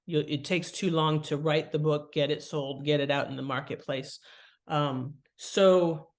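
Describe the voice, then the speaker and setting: A woman's voice: sits low, steady tone, measured pace. A woman talks as an expert guest on an interview show. She explains things conversationally, like someone giving practical advice, and leans on her key words. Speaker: guest author and writing expert.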